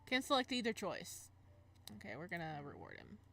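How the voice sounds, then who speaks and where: A woman reading a visual novel aloud and commenting casually as she plays, expressive and reacting out loud, sometimes stumbling over the text. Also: fairly low pitch, normal speed, slight background noise. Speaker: game streamer, let's player.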